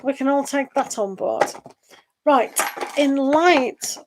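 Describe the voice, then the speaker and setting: A woman giving a live online tarot reading and losing her voice. She talks in a meandering, gentle way and reads the card texts aloud. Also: sits high, takes it slowly, background noise. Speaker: tarot reader.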